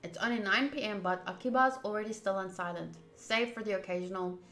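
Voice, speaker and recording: A woman streamer doing a game playthrough, reading the text aloud and commenting in an expressive, emphatic way. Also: mid-range voice, brisk. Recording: roomy echo, game music behind. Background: soft game music.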